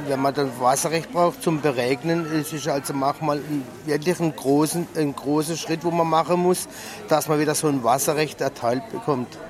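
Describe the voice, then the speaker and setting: High-voiced farmer, busy market. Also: male voice. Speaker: small farmer. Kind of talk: informal interview answer. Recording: busy outdoor market chatter.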